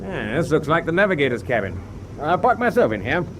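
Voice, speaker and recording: An actor playing a brisk, commanding naval officer in a radio war drama, with an authoritative, order-giving manner. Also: male voice, on the high side, sing-song, very noisy. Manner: authoritative, issuing clipped orders. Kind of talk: full-cast radio war drama acting. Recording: loud sea and boat ambience behind.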